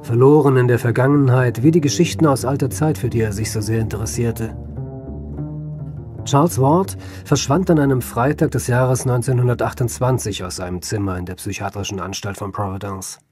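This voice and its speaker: An actor playing a grave, melancholic doctor with a measured, understated delivery in a German radio play. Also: male voice, mid-range voice, over music, natural voice. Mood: grave, reflective. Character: grave, melancholic family doctor recounting a patient's fate.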